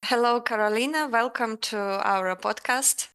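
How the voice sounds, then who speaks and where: A woman hosting an interview, politely reading a guest introduction and asking measured questions. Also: fairly high pitch, a bit bright, faint music behind. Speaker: podcast interviewer.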